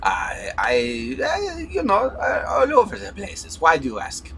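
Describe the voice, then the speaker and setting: A man voicing a vague wandering male scholar, halting and full of long pauses. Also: fairly high pitch, wildly swooping pitch, a bit bright, slight background noise, pitched above the performer's own voice, full character voice. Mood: evasive. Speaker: player voicing a character.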